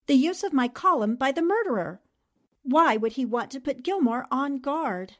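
A woman playing a shrewd, composed newspaper columnist and amateur sleuth in a radio mystery drama, speaking with deliberate, storytelling delivery. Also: sits high, lively intonation. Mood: pensive.

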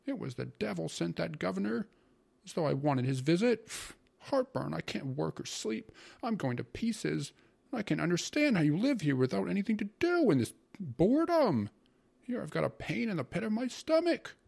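A man's voice: on the high side, big pitch swings, measured pace, gravelly, breathy edge, pitched above the performer's own voice, exaggerated voice. A man voicing a peevish, self-pitying, pompous uncle in a story, grumbling and complaining with heavy emphasis. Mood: tearful complaining.

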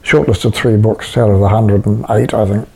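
A man's voice: on the low side, steady tone, normal speed, gravelly, darkish tone, breathy edge. A man being interviewed about his writing, talking casually and rambling with many restarts and fillers. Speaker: interviewed writer.

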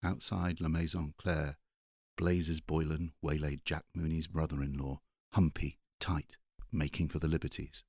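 A man's voice: a bass voice, flat delivery, a little slow, a little mellow, husky, no room sound, studio quality. A man reading a novel aloud as an audiobook narrator. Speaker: audiobook reader. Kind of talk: literary narration.

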